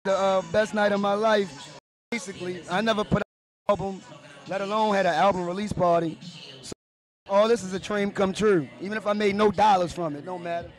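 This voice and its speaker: Raspy rapper with a high voice. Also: male voice, steady tone, fairly quick. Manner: laid-back, slangy street talk. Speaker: rapper being interviewed. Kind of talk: casual interview at a party. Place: dark club at a party. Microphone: handheld mic held by interviewer. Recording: music playing behind.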